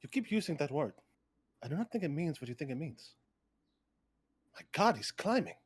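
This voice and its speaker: Man playing a husky, proud swordsman. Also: on the high side, big pitch swings, moderate pace, no echo, studio quality. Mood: puzzled. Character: proud, calm male swordsman henchman, eager to duel. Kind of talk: live table read of a film script.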